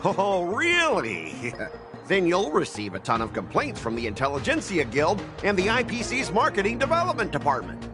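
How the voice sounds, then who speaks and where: High-pitched, blustering corporate bully. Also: male voice, huge pitch swings, normal speed, over music. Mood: smug, condescending. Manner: condescending, blustering. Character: arrogant, hot-tempered corporate transport manager, bullying and pompous. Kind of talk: video game voice acting.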